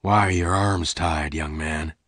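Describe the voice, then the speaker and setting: A man voicing a big, calm stranger in a western story, quiet, polite and quietly dangerous. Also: low-pitched, measured pace, no echo, clean studio sound. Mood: questioning.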